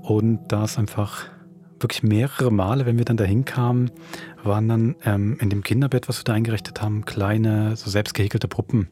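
Low-voiced man hosting a podcast. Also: fairly even intonation, fairly quick, mellow. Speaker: podcast host and producer. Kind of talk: podcast introduction and conversational anecdote.

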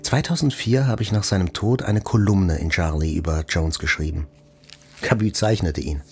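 A haunted, introspective journalist in a literary radio play. The voice is low and creaky, measuring each phrase deliberately, with music clearly underneath. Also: male voice. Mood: brooding. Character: reflective, haunted male journalist recounting an attack he survived. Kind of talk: literary audio drama narration.

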